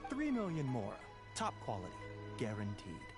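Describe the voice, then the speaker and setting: A man's voice: mid-range voice, huge pitch swings, normal speed, over loud music. An actor playing a genial but sly male pharmacist in a video game, with polite, salesman-like courtesy. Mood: agreeable, businesslike.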